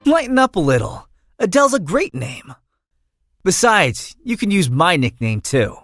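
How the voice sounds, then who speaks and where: Eager, high-voiced young nobleman. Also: very animated, measured pace, fairly bright tone, no echo, studio quality, heavily acted. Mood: playful. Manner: talkative, breezy, theatrical. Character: eager, chatty young nobleman with earnest hero's idealism. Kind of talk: video game voice acting.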